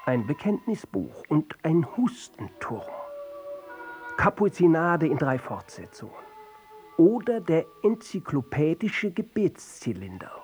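An actor playing a pompous, world-weary fantasist poet, declaiming grandly in an avant-garde radio play. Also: male voice, fairly high pitch, sing-song, unhurried, a little mellow, faint music behind. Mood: grandiose, exasperated.

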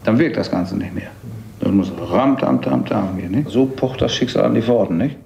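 Man, mellow and animated, emphatic corrector in casual talk, muffled echoey recording. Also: lowish voice, normal speed, vocal fry. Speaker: interviewee. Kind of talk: casual chat with sung rhythm examples.

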